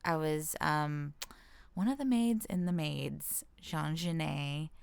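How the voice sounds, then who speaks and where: American woman, interview guest, low super clear voice, chatty and thinks out loud. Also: slow pace, dry recording. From Washington state, United States. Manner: informal, self-deprecating, thinks aloud. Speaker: comedian and actress, interview guest. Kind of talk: casual chat.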